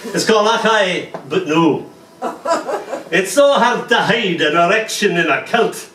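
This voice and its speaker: A man from Ireland reads his poetry in a small cafe, his voice sitting a little high. He jokes with the crowd between pieces and slows into tender recitation. The mic is set back, so the sound is roomy and distant with faint noise behind him. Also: animated, fairly bright tone. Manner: jokey asides, then slow tender recitation. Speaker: poet reading at an open mic. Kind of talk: poetry reading with banter. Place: small cafe venue with live audience.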